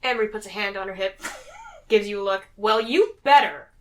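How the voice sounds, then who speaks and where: A woman's voice: mid-range voice, brisk, recorded from a distance. A woman casually bantering in character and out of it as a player in a tabletop roleplaying game. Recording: faint background noise.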